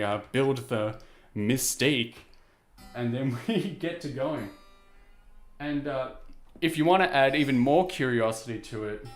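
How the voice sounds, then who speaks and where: A man with a low speaking voice chatting casually and self-deprecatingly to his viewers, rambling with lots of fillers. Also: roomy sound. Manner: easygoing, rambling, self-deprecating, many "you know" and "uh" fillers. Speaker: VTuber streamer. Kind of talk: casual chat to stream viewers while restringing a guitar.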